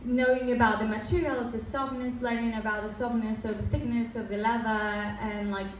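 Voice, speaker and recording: A young woman from Mexico, a native Spanish speaker with a Mexican accent softened by Australian years, giving a casual design talk full of fillers and restarts. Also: on the high side, little pitch movement, normal speed. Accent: Mexican, with some Australian. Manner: many fillers and restarts, loose and chatty. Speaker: design presenter. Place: event room. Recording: roomy, distant, faint noise.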